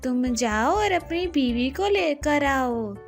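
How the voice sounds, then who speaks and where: A woman's voice: sits high, animated, slow, soft background music, full character voice. A woman voicing a gloating, bossy, greedy female kite bird in a children's cartoon.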